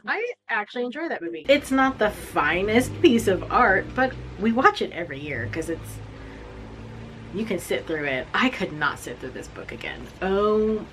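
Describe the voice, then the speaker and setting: Woman in her forties, low voice, blunt, lively, casual book recap, faint background hiss. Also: poor audio. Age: 40s. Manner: blunt, animated, self-mocking. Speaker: book reviewer.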